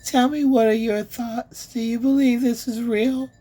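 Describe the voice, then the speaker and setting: A woman vlog host with a hoarse, failing voice, speaking affectionately and in a drawn-out way to her viewers. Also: on the high side, steady tone, mellow. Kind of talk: slow affectionate address to viewers.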